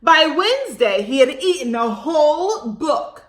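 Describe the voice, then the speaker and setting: A woman hosting a story time for young children, reading aloud with dramatic emphasis, drawn-out words and questions to her listeners. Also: high voice, sing-song, roomy sound.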